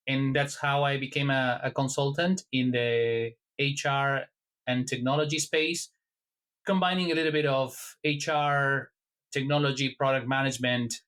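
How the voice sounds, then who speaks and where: A man from Argentina, a guest in an interview, answering in a measured, thoughtful way with some halting restarts. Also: mid-range voice, a bit bright. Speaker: guest, HR technology consultant.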